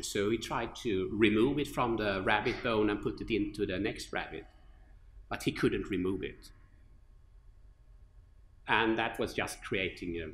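A middle-aged man from Sweden with a Swedish accent, an orthopedic surgeon giving a conference lecture at a podium microphone, relaxed, with many fillers and restarts. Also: on the low side. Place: large lecture hall with an audience. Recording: echoey lecture hall.

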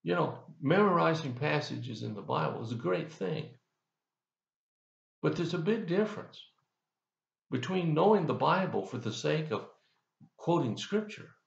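A man's voice: on the high side, animated, measured pace, creaky, long pauses, echoey room, highs cut off. An older man giving a folksy, conversational devotional as a pastor, asking the listener questions, recorded on a webcam in his office. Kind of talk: devotional sermon. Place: office, indoors, seated in leather chair.

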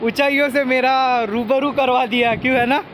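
Vlog host: young male, very high register, inquisitive and casual, outdoors over machinery noise. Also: fairly even intonation, moderate pace, fairly bright tone. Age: young adult. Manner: inquisitive, repeats what he is told back. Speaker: vlogger, host. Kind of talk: casual on-location vlog commentary and questions. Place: outdoors in an open stone quarry. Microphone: handheld phone at arm's length.

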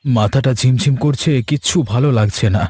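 A man voicing a weary, prudent village vegetable seller talking to his wife in a cartoon, homely and unhurried. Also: medium pitch, dark tone, husky, dry recording, close to an everyday voice. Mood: tired. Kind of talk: Bengali cartoon story dialogue.

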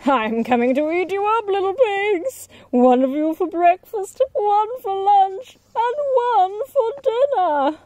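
A menacing, hungry storybook wolf, played by a woman, high-pitched and blustering. He stretches out his threats and taunts loudly. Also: animated, no echo, full character voice. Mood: gloating. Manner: loud, drawn-out threats and taunts.

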